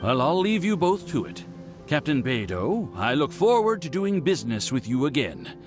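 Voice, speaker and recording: An actor playing a courteous, slyly amused merchant in a video game, speaking with polite, unhurried theatricality. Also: male voice, on the high side, very animated. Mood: amused, admiring. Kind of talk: voiced video game dialogue. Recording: clear music behind the dialogue.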